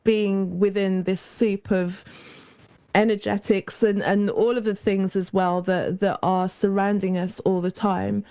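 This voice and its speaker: A woman's voice: medium pitch, little pitch movement, a little slow, warm tone, smooth. A woman with a young-sounding voice, a guest in a casual interview, speaking reflectively in long flowing thoughts. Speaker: guest, mentor to creative women.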